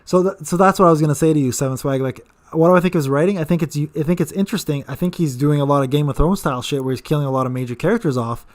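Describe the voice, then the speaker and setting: A man in his late thirties answering viewer questions on a casual live stream. His voice sits a little high for a man, and he rambles, stumbling over repeated words and filling gaps with "um" and "uh.". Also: rapid-fire, few pauses. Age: 30s. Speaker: gaming channel host.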